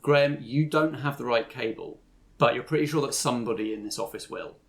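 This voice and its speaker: A man's voice: mid-range voice, a little fast. A man improvising narration as game master, explaining the scene in a conversational way.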